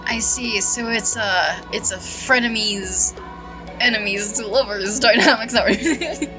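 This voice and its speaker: A woman's voice: on the high side, measured pace, low-quality recording. A woman doing casual gameplay commentary and reading dialogue aloud, playful and reactive. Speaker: gamer doing a playthrough. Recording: game music behind the voice.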